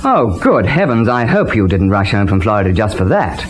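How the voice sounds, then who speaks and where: A man playing a genial, eccentric gentleman with a British-tinged accent, airy and courteous in an old radio serial style. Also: on the low side, sing-song, brisk, soft background music. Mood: breezy, amused. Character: genial, breezy, eccentric gentleman who sketches. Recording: noisy vintage radio recording.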